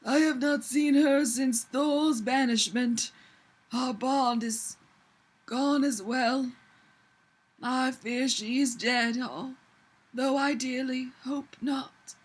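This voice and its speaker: A woman's voice: high-pitched, steady tone, slow. A woman voicing a sorrowful, formal male god in mourning, in a grave fan fiction reading.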